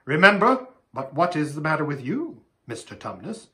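A man's voice: fairly high pitch, big pitch swings, normal speed, sharp tone, exaggerated voice. A man voicing a brave young king with a formal, heroic manner, noble and confident, in a storybook. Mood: concerned.

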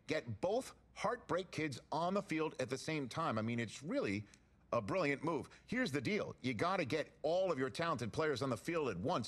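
An actor playing an earnest, admiring college football pundit, speaking in emphatic sports TV commentary. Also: male voice, mid-range voice, fairly bright tone. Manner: emphatic, rhetorical, builds up in lists.

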